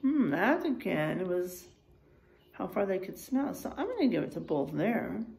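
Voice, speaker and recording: A woman's voice: mid-range voice, moderate pace, gravelly. A woman reads aloud to children like a teacher, expressively, encouraging them and putting questions to them. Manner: expressive, encouraging, addresses young listeners with questions. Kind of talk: animated children's read-aloud with asides to listeners. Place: small unfinished room or garage with drywall, indoors.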